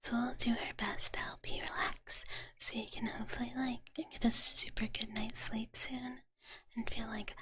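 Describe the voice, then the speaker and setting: A woman voicing a cute, gentle dragon big sister in whispered live ASMR, soothing and bubbly, with a filler-heavy chatty manner on a close microphone. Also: fairly high pitch, little pitch movement, normal speed, close to an everyday voice. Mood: soothing, caring. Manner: cutesy, speaks of herself in third person, filler-heavy. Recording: clearly noisy close ASMR recording, clicks.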